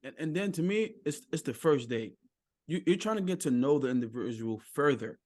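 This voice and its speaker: A man in his thirties thinks aloud on a dating podcast, restarting his sentences and repeating words. His voice runs higher than most men's and swings lively up and down. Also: a little fast. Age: 30s. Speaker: podcast guest. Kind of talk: casual opinionated chat.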